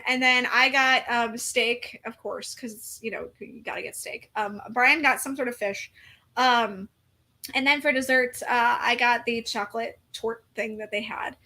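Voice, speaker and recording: A woman's voice: fairly high pitch, measured pace, bright, forceful tone. A woman in her forties, a podcast co-host, telling a story in casual chat with lots of fillers and restarts. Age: 40s. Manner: rambling storytelling with many fillers.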